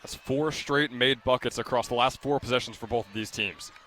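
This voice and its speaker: A man's voice: medium pitch, fairly quick, sharp tone, slight background noise. A young man giving conversational, emphatic color commentary at a live basketball game. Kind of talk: live sports analysis, conversational. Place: courtside at indoor basketball game.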